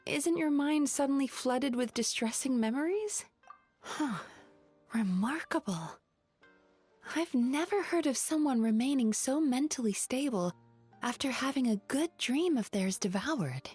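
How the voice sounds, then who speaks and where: Polite, earnest young spirit girl for a fantasy game, higher-pitched voice. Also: lively intonation, unhurried, darkish tone, slightly rough, faint music behind, exaggerated voice. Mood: astonished. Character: polite, earnest female dream-eating tapir spirit, young therapist. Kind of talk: video game voice acting.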